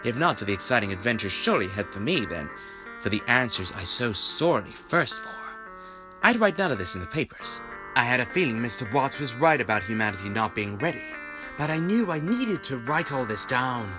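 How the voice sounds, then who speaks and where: An actor playing an earnest, inquisitive newspaper writer turned detective in an audio drama, speaking dramatically. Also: male voice, mid-range voice, very animated, brisk, music in the background, lightly acted, rough recording. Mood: brooding narration. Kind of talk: audio drama acting and first-person narration.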